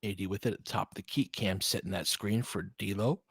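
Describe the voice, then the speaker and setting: A man's voice: fairly low pitch, steady tone, a little fast, gravelly, fairly bright tone, slightly rough, dry recording, clean studio sound. A man giving conversational, partisan basketball play-by-play commentary, reacting openly to the action as it unfolds.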